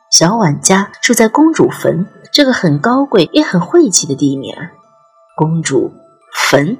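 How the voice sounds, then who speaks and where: Animated woman narrating an eerie ghost story. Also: on the low side, slightly rough. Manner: expressive, suspenseful storytelling. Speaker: audiobook narrator. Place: studio recording with music behind.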